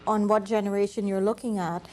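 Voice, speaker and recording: A middle-aged woman speaking in a measured, qualifying way as a guest on a discussion panel. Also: medium pitch, flat delivery, darkish tone.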